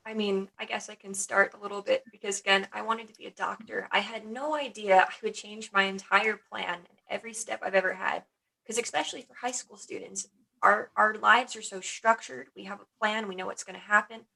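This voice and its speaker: An enthusiastic young woman student talks on a panel. Her voice is bright and forceful, with some vocal fry. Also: medium pitch, steady tone, brisk, poor audio. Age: young adult. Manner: enthusiastic, upbeat. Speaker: engineering student. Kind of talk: panel discussion.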